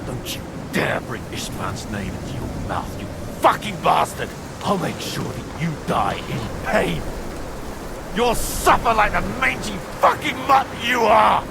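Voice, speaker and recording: High-pitched, gravelly, vengeful villain. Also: male voice, very animated, background noise, heavily acted. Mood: furious, anguished. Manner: theatrical, sneering, drawn-out menace. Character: bitter, vengeful male villain, taunting and enraged. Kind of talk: dramatic video game acting.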